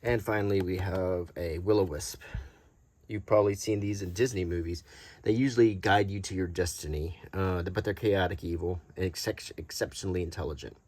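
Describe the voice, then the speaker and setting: Low-voiced man, halting casual commentary. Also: steady tone, darkish tone, no room sound. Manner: halting, unscripted, hesitant delivery. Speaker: host. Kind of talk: unintentional ASMR, casual show-and-tell commentary.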